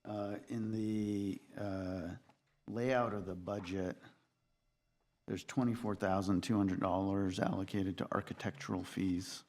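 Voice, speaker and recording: A committee man questions an applicant in a very deep voice. He is probing and insistent and talks over her to finish his point. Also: steady tone, a little slow, a little mellow. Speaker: committee member. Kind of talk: questioning at a public meeting. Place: city council chamber.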